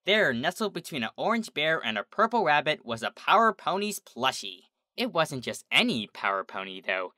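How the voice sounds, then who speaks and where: An expressive storyteller reads comedic fiction in a bright, forward voice. The pitch moves around in an animated way. The sound is close and dry, with music playing underneath. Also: moderate pace, hardly any pauses, dry recording. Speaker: story reader. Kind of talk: scripted story narration of a comedy fan fiction.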